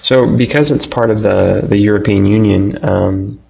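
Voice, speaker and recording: A man hosting an interview, asking slow, clear questions and repeating the answers back to check them. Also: lowish voice, fairly even intonation, no room sound, muffled recording. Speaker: host interviewer.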